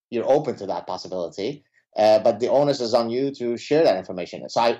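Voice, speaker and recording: A man who grew up in Lebanon with Arabic as his first language, speaking as a guest in a conversational interview, animated and full of filler sounds. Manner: animated, pitch jumps when stressing points, many filler uhs. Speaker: podcast guest, academic. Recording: dull lo-fi audio.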